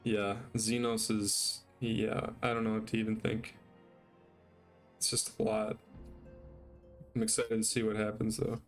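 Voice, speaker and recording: Casual male game streamer, lowish voice. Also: completely flat delivery, a little slow, pauses often, soft background music. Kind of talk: casual chat, reacting to a game.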